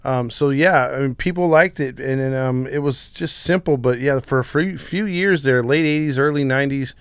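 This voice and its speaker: A man's voice: mid-range voice, moderate pace, smooth, no echo, studio quality. A middle-aged man co-hosting a casual podcast chat, speaking informally and often restarting his sentences as he goes. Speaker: podcast co-host. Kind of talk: casual chat, reminiscing about pop culture.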